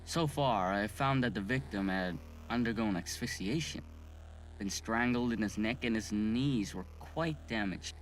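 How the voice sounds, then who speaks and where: A man acting an earnest autopsy doctor in an amateur audio drama, talking in a deliberate, conversational way. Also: fairly low pitch, close to an everyday voice, low-quality recording. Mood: matter-of-fact. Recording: background noise.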